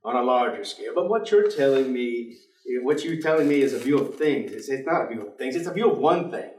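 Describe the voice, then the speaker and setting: A man's voice: medium pitch, animated, brisk, darkish tone, close to an everyday voice. Echoey, dry, bleak male professor played by an actor. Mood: dismissive. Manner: dry, precise, formal, pessimistic. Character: bleak, weary, stubborn intellectual professor, an unbeliever. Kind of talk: staged play reading. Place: indoor theater stage. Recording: echoey theater, distant microphone.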